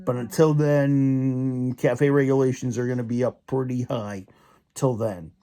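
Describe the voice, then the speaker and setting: A man's voice: medium pitch, steady tone, a little slow, dark tone. A young man in a casual opinion rant, rambling with many restarts and repeated fillers. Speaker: vlogger.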